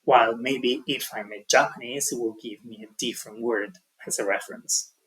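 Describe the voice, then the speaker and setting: Husky man giving a hesitant tutorial. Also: medium pitch, steady tone, normal speed, a bit bright, low-quality recording. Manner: hesitant, many ums and restarts. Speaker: language teaching tutor. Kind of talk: explaining an online tutorial.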